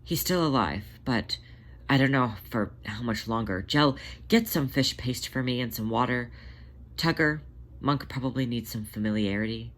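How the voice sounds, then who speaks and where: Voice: mid-range voice, normal speed, a bit bright, close to an everyday voice. A narrator voicing a worried, caring elderly female cat healer in hesitant, questioning dialogue for a story reading. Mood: worried, unsure. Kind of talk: amateur audiobook character dialogue.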